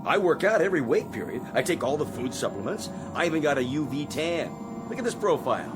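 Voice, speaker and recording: Griping spaceship navigator, wildly swooping delivery. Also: male voice, a little high, big pitch swings, brisk, music in the background. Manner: whiny, wisecracking, swooping emphasis. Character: griping, vain male spaceship navigator, eager for recognition. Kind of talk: radio drama acting.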